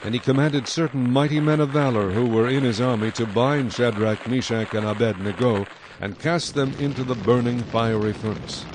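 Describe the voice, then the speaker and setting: A male narrator reads scripture as part of a dramatized production. His voice sits at a middle pitch. Noisy ambient effects are clearly heard behind him. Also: normal speed.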